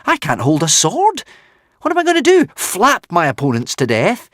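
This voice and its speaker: A man's voice: fairly low pitch, big pitch swings, a little fast, gravelly, fairly bright tone, no room sound, clean studio sound, full character voice. A man doing a battered, wry young boy. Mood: desperate. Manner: raised, disbelieving and self-mocking. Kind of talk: audiobook character voice.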